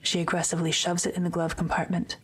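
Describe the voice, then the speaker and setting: A woman narrating a scripted audio drama in a matter-of-fact, measured way. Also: fairly low pitch, monotone, a little hiss or hum.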